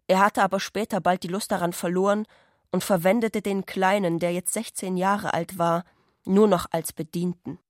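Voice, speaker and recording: A woman's voice: mid-range voice, steady tone, moderate pace, no echo, studio quality. A woman reading scripted literary prose as the narrator of a radio play.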